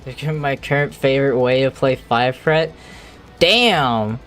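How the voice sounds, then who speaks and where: A game streamer with a medium-pitched voice talks slowly and casually, half to themselves. The delivery is loose and conversational, like someone thinking aloud while they work. Music plays faintly behind the voice. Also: a bit bright. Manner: mutters numbers and thoughts aloud to self. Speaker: live streamer modding a video game.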